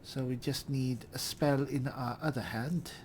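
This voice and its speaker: A man thinking aloud in character as he plays a roleplaying game, measured and deliberate, often muttering to himself. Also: mid-range voice, steady tone, a little mellow, slight background noise. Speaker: gamer narrating a roleplay playthrough.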